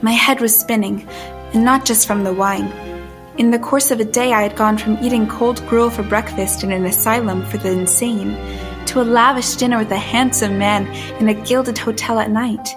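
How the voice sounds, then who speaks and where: A woman's voice: on the high side, fairly even intonation, normal speed, close to an everyday voice. An actress playing a bewildered, well-mannered young woman of the 19th century in an audio drama, with proper period phrasing. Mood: narrating. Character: bewildered, well-mannered young asylum escapee in 1871, narrating her tale. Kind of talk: audio drama dialogue and first-person narration. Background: music.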